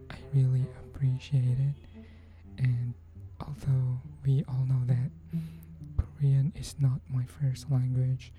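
A woman hosting a podcast, thanking her listeners in a soft, often near-whispered, unhurried voice. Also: a bass voice, low-quality recording. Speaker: podcast host. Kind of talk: thank-you message to listeners. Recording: cello music playing under the voice.

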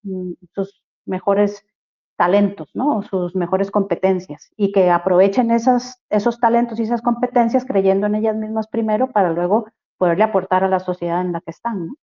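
A woman from Costa Rica with a Costa Rican accent, speaking as an interview guest on human rights, thoughtful and halting, with many fillers and restarts. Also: mid-range voice, fairly even intonation. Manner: reflective, many fillers and restarts, searching for words. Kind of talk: casual interview talk. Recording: dull lo-fi audio.

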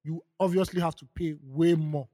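A young Nigerian man co-hosting a podcast, retelling stories with slow, emphatic stress. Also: fairly high pitch, steady tone, dark tone, dry recording, clean studio sound. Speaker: podcast co-host. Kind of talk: casual chat.

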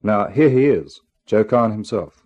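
Low-voiced man introducing a radio mystery. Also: lively intonation, unhurried, lo-fi sound. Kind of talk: scripted 1950s radio introduction. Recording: music under the voice.

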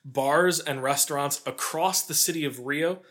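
A man's voice: fairly high pitch, measured pace, fairly bright tone. A man hosting a podcast, telling sports history in a casual, conversational way with wry asides. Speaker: podcast host. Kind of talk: casual storytelling about sports history, part scripted.

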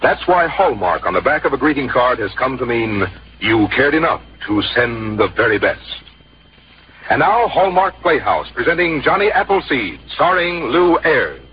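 A man's voice: mid-range voice, big pitch swings, moderate pace. A man working as a polished, emphatic announcer, reading scripted sponsor messages on 1950s radio. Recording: muffled old radio broadcast. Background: prominent music.

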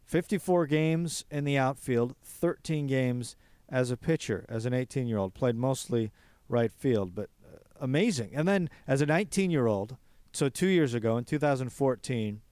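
A man's voice: medium pitch, lively intonation, normal speed, darkish tone, clear, resonant tone, no echo. A man hosting a baseball show, talking casually and eagerly while reeling off player statistics. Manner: enthusiastic, reels off stats. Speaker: baseball podcast host. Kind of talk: casual sports talk monologue.

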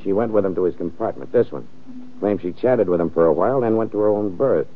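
A world-weary, wisecracking police lieutenant in an old radio crime show. His voice is deep and swings widely in pitch, hard-boiled and sardonic, on a boxed-in vintage recording. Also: expressive, quick pace, slight background noise. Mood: dry, wry. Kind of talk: old-time radio crime drama acting. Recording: muffled, narrow-band old radio recording.

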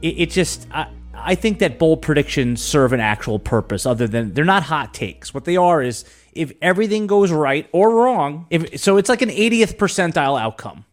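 A chatty male podcast host with a slightly higher voice who talks loosely. He stumbles and restarts as he thinks aloud. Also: very animated, moderate pace, hardly any pauses, soft background music. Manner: rambling, with many restarts and filler words. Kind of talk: casual podcast chat.

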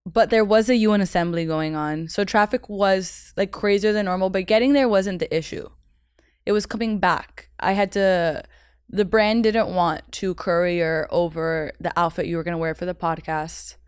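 A woman's voice: on the low side, fairly even intonation, smooth, dry recording, clean studio sound. A woman in her twenties, a personal assistant, chatting playfully and teasing the host. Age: 20s. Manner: playful, teasing, quick with comebacks. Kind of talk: casual chat.

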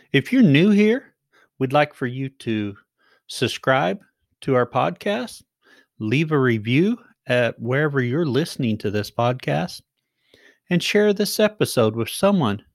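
Podcast host, man, animated pitch, dry close sound, upbeat intros. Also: no echo. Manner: friendly, frequent "oh, yes" and laughs. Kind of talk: interview hosting.

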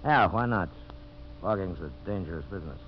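Guy acting a smooth scheming villain boss, lowish voice, 50s radio play, dull hissy old recording. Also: brisk, close to an everyday voice. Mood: sly, conspiratorial. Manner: insinuating, calculating. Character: scheming, smooth businessman villain plotting sabotage. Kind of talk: 1950s radio drama acting.

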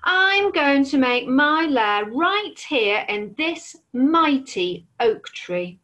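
A woman voicing a huge stubborn male dragon, speaking slowly, loudly and bossily. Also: sits high, big pitch swings, muffled recording, pitched above the performer's own voice, exaggerated voice. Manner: slow, loud, bossy.

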